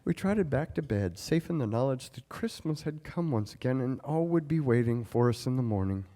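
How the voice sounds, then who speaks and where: An actor playing a nostalgic man reliving his boyhood in an expressive scripted monologue performed live. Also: mid-range voice, moderate pace, lightly acted. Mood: nostalgic, delighted. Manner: expressive storytelling.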